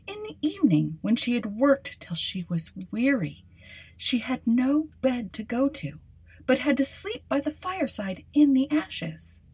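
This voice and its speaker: A woman's voice: high-pitched, sing-song, a little slow, a little mellow, breathy edge. A woman podcast host reading a fairy tale aloud as dramatic scripted narration.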